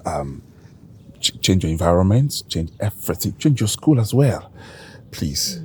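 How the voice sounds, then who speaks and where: An actor playing a stern, well-off husband with a Nigerian accent in a film drama. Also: male voice, sits low, big pitch swings, unhurried, darkish tone, a little hiss or hum. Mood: hesitant, polite.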